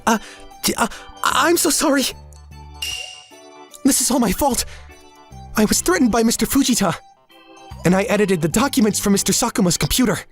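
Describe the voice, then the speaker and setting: A man's voice: very high voice, lively intonation, normal speed, pauses often, faint music behind, voice pushed up. A man voicing a nervous, apologetic young subordinate, stammering and pleading, in a comic drama dub. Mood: panicked, apologetic.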